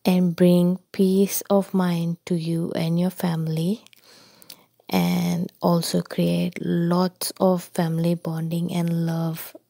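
A woman from India talks in a car as she drives to the shops, unhurried and soft-spoken, pausing often on ums and uhs. Her voice sits a little low and sounds gravelly and mellow. The sound is close and dry, with little room echo. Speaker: vlogger. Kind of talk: casual narration over driving footage. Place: inside a car.

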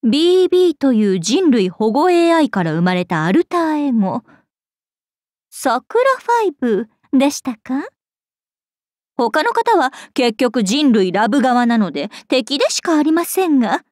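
A woman's voice: high-pitched, sing-song, moderate pace, darkish tone, no echo, studio quality. A woman voicing a sly, coquettish fox villainess in a game, genteel and condescending. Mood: smug, appraising. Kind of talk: Japanese game character voice lines.

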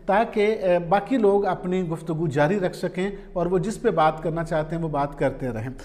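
A man's voice: high voice, darkish tone. A man in his forties with a Pakistani accent gives informal advice to camera in a conversational, explanatory way, mixing in English words. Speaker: vlogger, journalist and columnist. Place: small quiet room indoors, at a desk.

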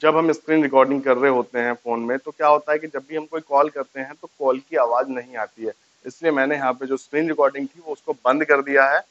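A man's voice: medium pitch, steady tone, fast, hardly any pauses, a bit bright, dry recording. Man, thirties, casual tutorial host, explanatory, repeats steps, dry close lapel sound, slightly muffled. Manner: explanatory, repeats each step. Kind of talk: casual step-by-step phone tutorial to camera. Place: small furnished room indoors, on a sofa. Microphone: lapel mic.

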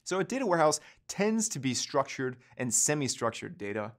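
Lively-voiced man teaching a tech course. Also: medium pitch, brisk. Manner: explanatory, gestures with both hands while talking. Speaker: course instructor. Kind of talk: scripted course lecture.

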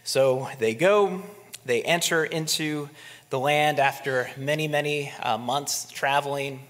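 A man's voice: on the high side, slow pace, fairly bright tone. A man in his thirties, a pastor, preaching a sermon from a pulpit in a conversational way, asking his listeners rhetorical questions. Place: church sanctuary, at a wooden pulpit. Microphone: pulpit microphone. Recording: long reverb of a church hall.

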